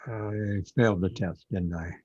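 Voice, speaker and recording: Man acting as husky low-voiced paranoid man, brooding, introspective, confused, sci-fi radio play, dull dry lo-fi recording. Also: steady tone, unhurried, a little mellow, no room sound. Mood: paranoid, guilt-ridden. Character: the Bornless One, confused paranoid man living inside a computer chip. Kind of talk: audio drama monologue and dialogue.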